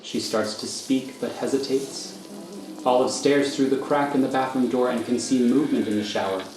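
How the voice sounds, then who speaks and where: A man narrating scripted stage directions for a radio thriller in a measured, matter-of-fact manner. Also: mid-range voice. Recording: echoey room, faint noise.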